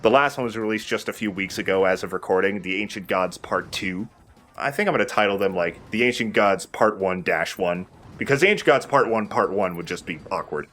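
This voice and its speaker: Low-voiced man commentating over game music. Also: a little fast, a bit bright. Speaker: let's play host and commentator. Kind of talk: casual video game commentary.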